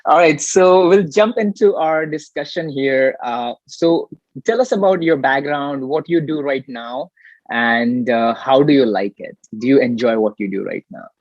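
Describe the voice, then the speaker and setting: A man's voice: medium pitch, unhurried. A man hosting a casual interview, asking questions and chatting conversationally. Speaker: interviewer, host. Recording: dull, echoey room sound.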